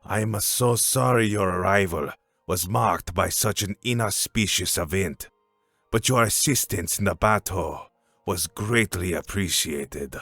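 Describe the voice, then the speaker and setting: A grave elder leader in a cartoon fan dub, acted by a man. His voice is lowish and slow, with solemn, formal authority, in a dry recording. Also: a bit bright, dry recording, faint music behind, heavily acted. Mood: solemn gratitude. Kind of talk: animated fan dub acting.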